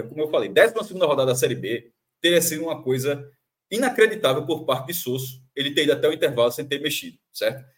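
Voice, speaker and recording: A Brazilian man breaks down a football match in a fast, animated panel chat. His voice sits a bit high for a man, and he restarts sentences and repeats phrases as he argues his point. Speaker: football commentator. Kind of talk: sports analysis panel chat.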